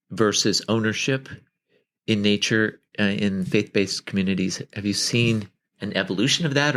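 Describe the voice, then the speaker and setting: A man's voice: fairly low pitch, fairly bright tone. A man hosting an interview, asking curious, playful questions. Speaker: podcast host. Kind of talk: conversational interview.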